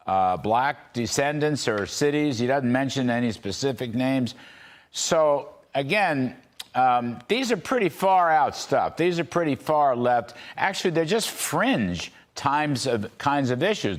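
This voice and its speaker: A man hosting a political talk show, chatting casually with a guest, with lots of "um"s, "I mean"s and restarts. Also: medium pitch. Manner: halting, many fillers and restarts. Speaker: TV show host.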